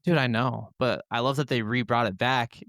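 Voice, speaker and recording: A man's voice: mid-range voice, fairly even intonation, normal speed, fairly bright tone, no room sound, studio quality. A man co-hosting a podcast, chatting casually and informally about video games with enthusiasm. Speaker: podcast host.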